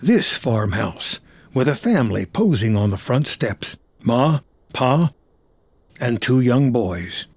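A man's voice: medium pitch, big pitch swings, slow, husky, no room sound. A man reading scripted screenplay narration as the narrator of a drama. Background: music.

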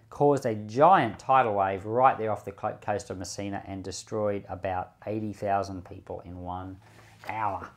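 Conversational man teaching from the Bible. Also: lowish voice. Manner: conversational, explanatory, with rhetorical questions. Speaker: pastor. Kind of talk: Bible teaching podcast with commentary and prayer.

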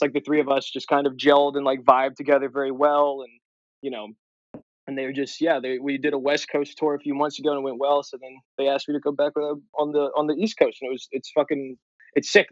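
A man's voice: mid-range voice, no room sound, highs cut off. A young man from Ohio, a comedian guest telling stories in casual podcast chat with lots of fillers and swearing. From Ohio, USA. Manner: rambling, many fillers, swearing.

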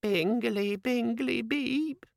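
Squeaky, eager little gadget demon. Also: male voice, extremely slow, creaky, dry recording, studio quality, heavily acted. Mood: chirpy, hopeful. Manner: over-eager salesman patter turning uneasy. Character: small chirpy eager demon inside a gadget organizer.

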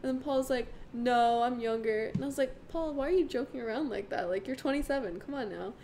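Young woman, late teens, slightly high voice, casual banter, giggly and teasing, faint background noise. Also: fairly quick, warm tone. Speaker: co-host introducing herself. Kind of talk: casual banter with her husband.

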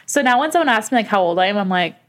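A woman in her twenties co-hosting a podcast, chatting casually and rambling with quick asides. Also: mid-range voice, lively intonation. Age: 20s. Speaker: podcast co-host. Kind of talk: casual chat.

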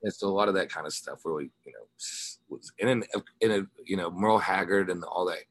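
Male interview guest, American, low voice with a slightly rough edge, loose informal musician talk. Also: fast, dry recording. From Ozarks, United States. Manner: rambling, informal, slangy. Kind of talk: casual interview chat.

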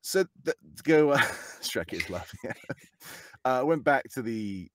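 Animated man with a dry close sound. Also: medium pitch, a little slow, no echo. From United Kingdom. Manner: animated, laughing, jumps into put-on voices for quotes. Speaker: voice actor guest. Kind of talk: casual podcast chat.

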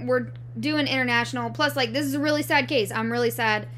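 A woman's voice: on the high side, brisk, a bit bright, slight background noise. A young woman co-hosting a casual true-crime podcast. She jokes sarcastically and laughs at her own puns. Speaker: podcast co-host.

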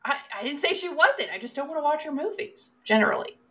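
A woman's voice: high-pitched, animated, quick pace, bright, forceful tone. A woman co-hosting a podcast, chatting casually about films in an emphatic, opinionated and sweary way. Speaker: podcast co-host. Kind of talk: casual film chat.

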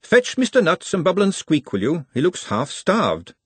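A brisk young woman who rules her kitchen in a fantasy audiobook, voiced by a male narrator. She is blunt, bossy and scolding, with a raised, very animated pitch. The recording is dry and close. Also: husky, no echo, heavily acted. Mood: grudgingly kind. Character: bossy, brisk, no-nonsense young female cook.

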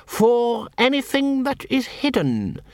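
A man voicing a cold, curt male head of a guild of assassins, snapping orders in a slow, raised voice. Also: very high-pitched, huge pitch swings, no echo, studio quality, voice pushed up, exaggerated voice. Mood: commanding. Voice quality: sharp, curt, commanding.

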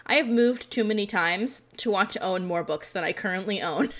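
A woman's voice: medium pitch, moderate pace, a bit bright. Casting: woman podcast host, mid-range voice, chatty and informal, self-deprecating, wandering through her sentences with plenty of "like.". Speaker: library professional. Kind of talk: casual bookish chat.

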